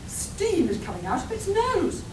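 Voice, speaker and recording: A woman's voice: wildly swooping pitch, exaggerated voice. Echoey, confident woman scientist, acted by a woman. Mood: fascinated, excited. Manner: brisk, matter-of-fact explaining. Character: confident, curious adventuring woman scientist, breezily scheming. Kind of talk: live radio play acting. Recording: echoey hall, distant mic, noisy rumble.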